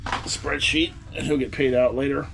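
A man in his forties chats to the camera from behind a desk, wry and rambling, with asides about what he has sold. His voice sits at an everyday middle pitch. A faint hum of room noise lies under the recording. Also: steady tone, moderate pace, creaky. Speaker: eBay reseller vlogger. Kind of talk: casual talk to camera. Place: cluttered room indoors, seated at a desk. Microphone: camera in front of him at the desk.

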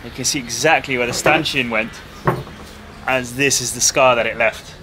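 A man in his thirties talks through his project to a camera held at arm's length, relaxed and explanatory, with plenty of small fillers. His voice sits in an ordinary middle register. A steady hum of machinery and air conditioning runs clearly under the camera audio. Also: mid-range voice, normal speed. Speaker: boat restoration vlogger. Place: open-sided wooden workshop. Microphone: handheld camera at arm's length.

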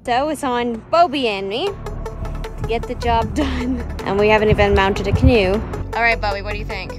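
Young female vlogger, higher register, casual and jokey, self-deprecating, handheld sound with a music bed. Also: lively intonation, moderate pace. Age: young adult. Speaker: vlog host. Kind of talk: casual vlog chat to camera. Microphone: phone or camera at arm's length. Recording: music behind, handheld outdoor and indoor vlog.

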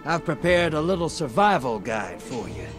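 An actor voicing a shady, streetwise rogue and survivor in a video game, with slangy, conspiratorial swagger. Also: male voice, on the high side, sing-song, normal speed, over music. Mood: chummy, confiding. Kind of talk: video game character dialogue.

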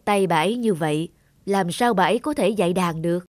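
A woman's voice: lowish voice, brisk, darkish tone, no room sound, studio quality, close to an everyday voice. A woman voicing a serious, concerned doctor in a cartoon drama, speaking earnestly. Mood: grave, concerned. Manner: earnest, explanatory.

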